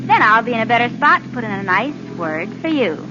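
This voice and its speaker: A woman's voice: fairly high pitch, very animated, fairly quick, over music. An actress playing a brassy, scheming gangster's girlfriend in a 1940s radio drama. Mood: gleeful, smug. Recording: muffled, noisy 1940s radio recording.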